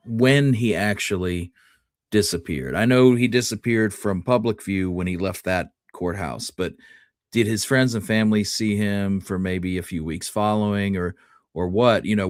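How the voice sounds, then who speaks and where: A man with a fairly low voice chats as a podcast co-host. He is informal, wondering aloud and putting questions to his partner. The recording is close and dry. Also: no room sound. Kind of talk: casual chat.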